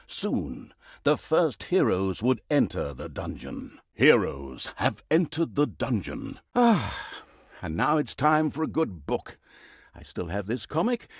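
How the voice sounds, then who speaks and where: An actor playing a pompous, droll, easily exasperated storybook narrator in a video game, with theatrical delivery. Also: male voice, mid-range voice, wildly swooping pitch, measured pace, husky, no room sound, studio quality, exaggerated voice. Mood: flustered, exasperated.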